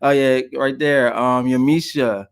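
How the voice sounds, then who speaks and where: A man from Queens, New York, co-hosting a live stream, relaxed and playful as he chats and reads out viewers' names. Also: medium pitch, unhurried, smooth. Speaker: co-host. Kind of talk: casual chat on a live stream.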